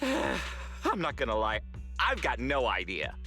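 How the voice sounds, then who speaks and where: A man voicing a luckless low-rank henchman in an anime game, grumbling and full of self-pity. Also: wildly swooping pitch, slow pace, pushed and bright, soft background music, heavily acted. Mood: weary complaining. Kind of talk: dubbed anime game voice acting.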